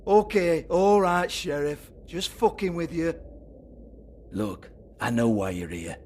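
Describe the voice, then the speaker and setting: An actor playing a sleazy, foul-mouthed strip club owner with a British accent in a video game, mocking and volatile. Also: male voice, a little high, lively intonation, takes it slowly, darkish tone, a little hiss or hum. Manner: sneering, taunting, swearing.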